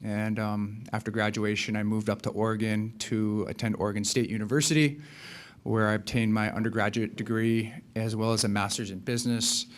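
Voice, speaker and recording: Man from Hawaii giving a slide talk. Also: medium pitch. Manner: polished, scripted delivery with occasional fillers. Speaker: account executive presenting. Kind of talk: slide presentation.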